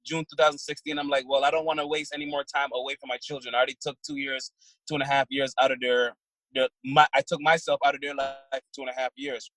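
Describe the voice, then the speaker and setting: Guest, male, 30s, from Barbados: mid-pitched, plain-spoken, dry close sound. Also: flat delivery, fairly bright tone, no echo, poor audio. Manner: plain-spoken, halting with fillers and restarts. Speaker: guest, trucking business owner. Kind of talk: casual interview answers.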